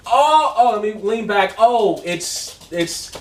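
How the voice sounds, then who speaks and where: A man reviewing a movie in casual, profane chat, excitable and quick to restart his sentences. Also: sits high, a little hiss or hum. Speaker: co-host of movie review video. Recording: echoey room, far from the mic.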